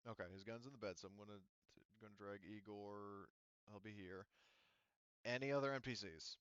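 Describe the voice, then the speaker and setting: A man's voice: lowish voice, brisk, fairly bright tone, clean tone, dry recording, muffled recording. A man running a tabletop roleplaying game as game master, talking casually and thinking aloud with hesitations. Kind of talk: casual tabletop game narration and rules talk.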